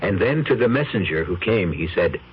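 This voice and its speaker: Deep-voiced theatrical radio host. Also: male voice, sing-song, a little hiss or hum. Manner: theatrical, measured declamation. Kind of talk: dramatic Shakespearean recitation. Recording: muffled old radio broadcast.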